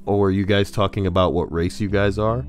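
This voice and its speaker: A man's voice: low voice, fairly even intonation, brisk, soft background music. A man casually reading forum posts aloud on a livestream, breaking in with crude, joking asides.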